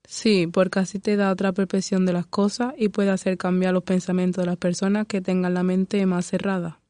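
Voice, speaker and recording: A woman's voice: fairly low pitch, flat delivery, normal speed, dark tone, dry recording. An eighteen-year-old woman giving brief, plain answers on a podcast panel. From Spain. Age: teens. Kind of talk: podcast discussion.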